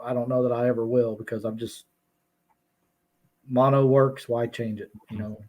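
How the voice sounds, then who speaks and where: A man chats easily as a guest on a fishing podcast. He laughs often and restarts his thoughts mid-sentence, and his voice sits at a middling pitch. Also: fairly even intonation, normal speed, a little mellow. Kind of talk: casual chat.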